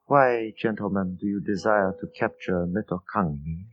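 A man acts out a dignified old lama of a mountain monastery in a radio play. He is low-pitched, formal and courteous, with quiet authority. The sound is muffled and old. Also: moderate pace, faint music behind, natural voice. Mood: stately, questioning. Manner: stately, formal, gentle authority. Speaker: radio actor. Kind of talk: old-time radio drama acting. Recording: dull vintage radio recording.

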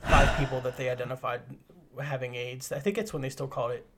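A man's voice: mid-range voice, fairly even intonation, fast talker, a bit bright. A man in his thirties co-hosting a podcast, chatting casually and eagerly about an old horror film. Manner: enthusiastic, chatty, rambling movie trivia. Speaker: podcast co-host.

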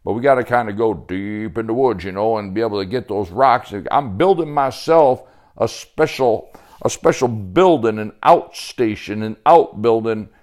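A man's voice: fairly low pitch, normal speed, a little mellow, lightly acted. A man voicing a boastful, fatherly woodsman in a story, with folksy turns of phrase and emphatic repetition. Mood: hearty encouraging. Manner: folksy drawl phrases, repeats himself emphatically. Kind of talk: storytelling character voice.

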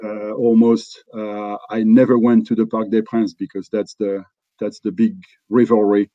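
A middle-aged man from France speaks English with a French accent, in a fairly low voice. He talks loosely, with fillers and restarts. The sound is dry and a little dull and lo-fi. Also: level tone, warm tone, no room sound. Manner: halting, many restarts and fillers. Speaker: company executive guest. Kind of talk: relaxed conversational interview.

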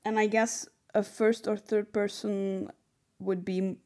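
Female podcast co-host, medium pitch, conversational, thoughtful, slightly hesitant phrasing. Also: little pitch movement, a little slow, a little mellow.

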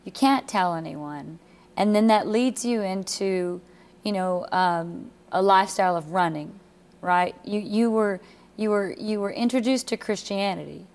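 Female TV host for a faith talk show; warm, dramatic summarizer, mid-range voice. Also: mid-range voice, unhurried. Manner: sympathetic, sums up the guest's story in dramatic terms. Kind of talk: TV interview.

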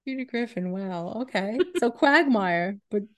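A woman's voice: mid-range voice, animated, measured pace. A woman co-hosting a podcast in casual chat, with a blunt, joking manner. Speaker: podcast co-host.

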